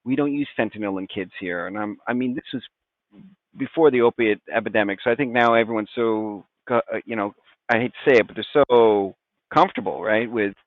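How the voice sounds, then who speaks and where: An American man talking casually as a medical expert guest, with halting, stop-start, conversational phrasing. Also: fairly low pitch, no room sound, phone-quality sound.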